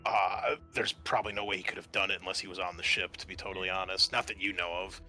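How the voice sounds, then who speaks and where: A man with a bright tone narrating a tabletop roleplaying game as game master. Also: deep voice, steady tone, fast talker.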